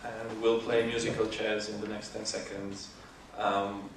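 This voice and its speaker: A man chairs a conference session in a lowish, flat voice, pausing on "um" between phrases. He sounds distant and echoey in the hall, with noticeable background noise. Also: a faint crowd. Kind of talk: conference moderating. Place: large lecture hall.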